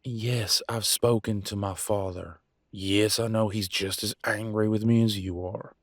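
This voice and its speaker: A man playing a weary, embittered werewolf pack leader and husband in a dramatic audio roleplay, with a folksy, sarcastic, pausing delivery. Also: fairly low pitch, fairly even intonation, no room sound. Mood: angry, firm. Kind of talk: dramatic one-sided relationship roleplay audio.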